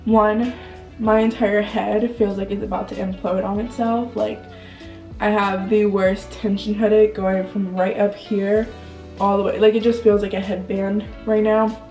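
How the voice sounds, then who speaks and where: A woman's voice: mid-range voice, level tone, measured pace, warm tone, reverberant, far from the mic, soft background music. A young woman vlogger with a stuffed-up nose, chatting candidly and casually with lots of filler words. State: congested. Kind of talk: casual vlog chat.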